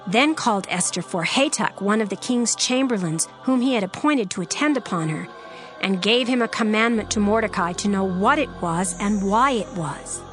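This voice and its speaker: A woman narrating a dramatized scripture reading in a measured, solemn way. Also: mid-range voice.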